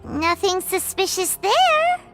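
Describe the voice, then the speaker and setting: A woman voicing a tiny, childlike fairy companion in a video game, excitable and inquisitive, with a squeaky voice. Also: slow, loud music behind the voice, heavily acted. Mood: curious. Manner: refers to self in third person, inquisitive. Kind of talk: English video game voice acting.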